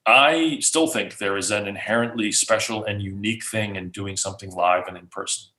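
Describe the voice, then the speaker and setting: A man, a guest speaker, answering questions casually in an animated way, restarting often. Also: fairly low pitch, moderate pace. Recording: roomy echo.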